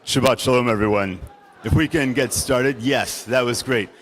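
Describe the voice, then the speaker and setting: A man's voice: mid-range voice, normal speed, background noise. A man leading a synagogue service, greeting the congregation with call-and-response welcomes. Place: large synagogue sanctuary. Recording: long hall reverb.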